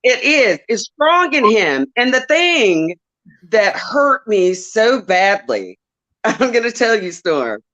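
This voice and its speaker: Southern woman caller, emotional and laughing, slow and swooping delivery. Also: on the high side, very animated, a bit bright, dull audio. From Southern United States. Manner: laughs often, emotional and chatty. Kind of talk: casual call-in chat.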